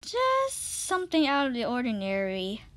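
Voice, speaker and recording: A woman's voice: fairly high pitch, wildly swooping pitch, moderate pace, a bit bright. A young woman narrating a dare video in a dramatic, self-deprecating manner. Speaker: video creator, host.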